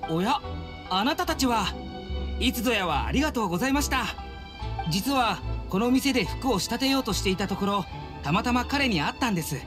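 A man's voice: very high voice, lively intonation, fairly quick. A man voicing an earnest, polite young servant of a noble house in a Japanese anime game, with formal, courteous speech. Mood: grateful, polite. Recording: studio voice over game music. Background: game music.

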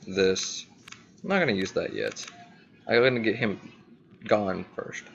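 A man's voice: lowish voice, wildly swooping pitch, gravelly, a bit bright, highs cut off, a little hiss or hum. A man giving casual, playful gameplay commentary, talking to himself as he plays. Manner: playful, talks to himself, drawn-out exclamations. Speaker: gaming video host.

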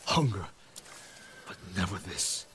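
An actor playing a proud, bitter ronin mercenary in a video game, gruff and aggrieved, in dramatic delivery. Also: male voice, low voice, very animated, slow pace, background noise, heavily acted. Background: game music.

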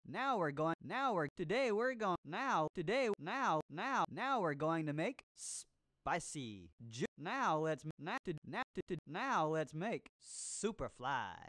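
Groovy disco TV chef, cartoon game host, slow drawn-out pace, very animated pitch, hammy, upbeat. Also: male voice, no room sound, clean studio sound, full character voice. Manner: hammy, swinging, drawn-out delivery. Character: groovy disco cooking show host, upbeat adult man. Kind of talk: cartoon game voice-over with 1970s disco slang.

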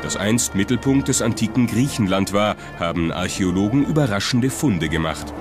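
Male narrator, low register, scripted history documentary read with music clearly underneath. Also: animated, normal speed.

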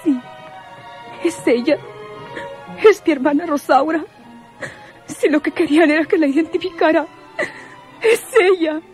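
A bereaved sister in a radio melodrama. Her voice is high, her manner resentful and challenging, with music underneath. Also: measured pace, pauses often, a little mellow. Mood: tearful. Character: grieving, resentful, suspicious sister of murdered woman. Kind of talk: old radionovela acting.

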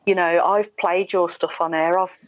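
A woman's voice: fairly low pitch, steady tone, moderate pace. A middle-aged woman, a radio presenter, chatting in an opinionated, emphatic way as an interview guest over a video call. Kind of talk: casual podcast interview chat. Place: small room indoors, video call. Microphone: wired earphone headset. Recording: dull, echoey video-call audio.

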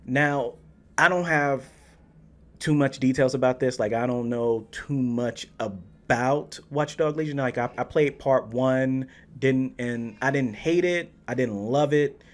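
A man's voice: medium pitch, unhurried. A man with an American accent giving slangy, profane, casual reaction commentary as a video host. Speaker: YouTube host reacting to a game trailer. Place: indoor gaming setup with desk. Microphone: microphone on boom arm, close.

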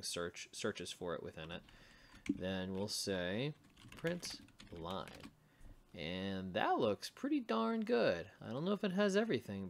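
Male tutorial host, lowish voice, very unhurried, thinks aloud over keyboard typing and clicks. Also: creaky. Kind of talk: casual screencast coding walkthrough. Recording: typing and mouse clicks, faint noise. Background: computer keyboard typing and clicks.

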